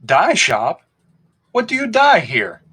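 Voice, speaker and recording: A man's voice: sits high, huge pitch swings, takes it slowly, pushed and bright, slightly rough, voice pushed up, full character voice. A man voicing a wary, easily irritated hunter with a skeptical, indignant manner in a storybook reading. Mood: half teasing.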